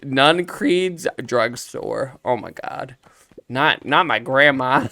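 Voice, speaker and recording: A man's voice: medium pitch, takes it slowly, vocal fry, no room sound. A man giving casual, playful commentary as he plays through a video game. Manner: playful, animated, reads dialogue aloud in character. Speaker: let's play streamer voicing characters and reacting.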